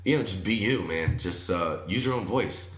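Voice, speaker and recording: A man with a naturally deep voice, a wedding DJ and MC guest, talking in a laid-back, confident way. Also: animated, normal speed. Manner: laid-back, confident, says "you know" often. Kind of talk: casual chat. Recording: roomy echo.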